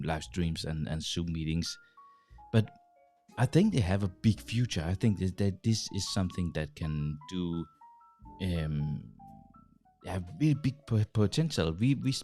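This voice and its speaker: A man with a Danish accent talks straight into a studio boom mic, his voice low and deep. He speaks in a halting way, restarting words and filling pauses, with faint music under him. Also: a little mellow. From Denmark. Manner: halting, many restarts and fillers, gestures while talking. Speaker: host. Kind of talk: casual talk to camera. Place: small home studio with dim lights. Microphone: large studio mic on boom arm, headphones.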